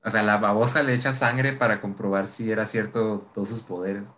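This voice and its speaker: A man's voice: lowish voice, flat delivery, brisk, a bit bright. A young man with a Mexican accent co-hosting a podcast, casually recapping a show with heavy slang and swearing. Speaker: podcast co-host. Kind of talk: casual chat recapping a TV series.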